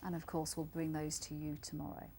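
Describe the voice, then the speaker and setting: A woman's voice: low voice, completely flat delivery, brisk, a crowd in the background. A woman presenting scripted television news as the studio anchor.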